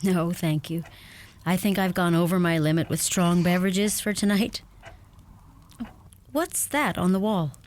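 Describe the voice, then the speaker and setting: An actress playing a warm, curious young woman in a radio play. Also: fairly low pitch, a little slow, pauses often, close to an everyday voice. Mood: friendly, intrigued. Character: warm, curious young American woman new to rural Ireland.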